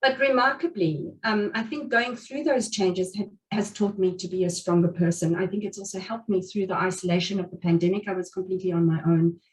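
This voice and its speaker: A woman's voice: mid-range voice, steady tone, fairly quick, hardly any pauses. Earnest Afrikaans-speaking woman, heard from afar. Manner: earnest, reflective, many restarts and fillers. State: has covid. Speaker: guest speaker. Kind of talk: motivational talk at a meetup. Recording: speaker distant from the mic.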